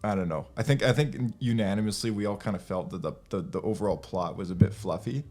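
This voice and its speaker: A man's voice: fairly low pitch, fast talker, darkish tone. A man hosting a casual podcast chat, with a hesitant, meandering way of talking. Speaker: podcast host.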